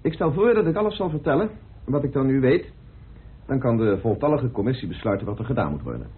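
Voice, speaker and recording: An actor playing a grave, formal, forceful male committee chairman in a vintage Dutch radio play, on a muffled recording. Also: medium pitch, animated, close to an everyday voice. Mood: brisk, formal. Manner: formal, emphatic, deliberate.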